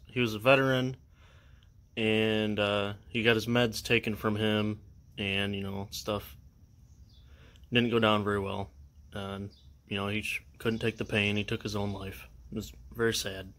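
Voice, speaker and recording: A young man chatting casually in a vlog, halting and full of fillers, filming indoors at a house. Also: lowish voice, little pitch movement, fairly bright tone. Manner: halting, many fillers, unsure. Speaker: vlogger. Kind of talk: casual show-and-tell vlog.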